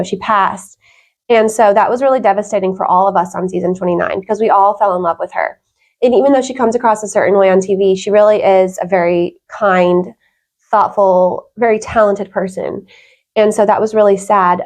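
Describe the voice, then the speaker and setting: A woman's voice: mid-range voice, a little mellow. A woman talking casually as an interview guest, earnest and emphatic in how she makes her points. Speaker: podcast guest.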